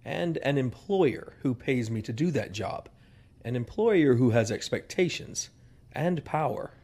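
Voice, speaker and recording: An actor playing a proud, fussy old family servant who scolds in formal, wordy speech in a western audio drama. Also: male voice, medium pitch, lively intonation, unhurried, a little mellow. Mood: stern, probing. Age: older.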